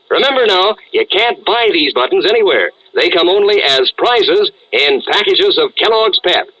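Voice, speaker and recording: A man's voice: fairly high pitch, bright, forceful tone, slightly rough. An American man announcing in 1940s radio advertising style, with punchy, emphatic sales delivery. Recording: dull, band-limited old radio recording.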